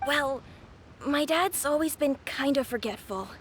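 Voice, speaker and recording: A woman's voice: high-pitched, unhurried, very noisy. A woman voicing a young boy in a game who is earnestly looking for his lost father. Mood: worried. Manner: earnest, worried. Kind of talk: game voice acting.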